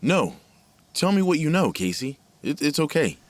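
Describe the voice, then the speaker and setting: An actor playing a worried, gentle middle-aged father in an audio drama, with a soothing, measured manner. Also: on the high side, big pitch swings. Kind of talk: naturalistic audio drama acting.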